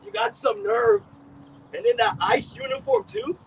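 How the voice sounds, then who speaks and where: A resentful cousin who keeps demanding answers, played by an actor on stage. His voice is very high and he is heard from a distance, with faint outdoor noise behind him. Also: big pitch swings, a little slow, vocal fry, exaggerated voice. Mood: accusing. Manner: confrontational, accusing. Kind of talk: live stage scene.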